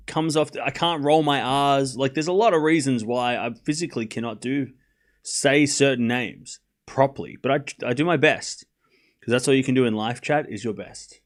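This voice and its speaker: A man's voice: medium pitch, moderate pace. Australian man chatting on a live stream. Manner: relaxed and rambling, reads chat aloud and answers it, swears casually. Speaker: streamer doing live tennis commentary. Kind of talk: casual live-stream chat and play-by-play.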